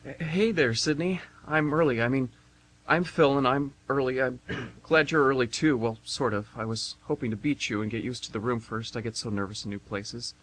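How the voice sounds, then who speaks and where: Casting: shy, apologetic young suitor for a radio play, acted by a man, husky, mid-pitched, earnest and stumbling. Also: normal speed, a bit bright, natural voice, rough recording. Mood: nervous, eager. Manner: stammering, rambling, apologetic. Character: nervous, awkward, sweetly earnest young man on a date. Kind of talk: amateur radio theatre acting.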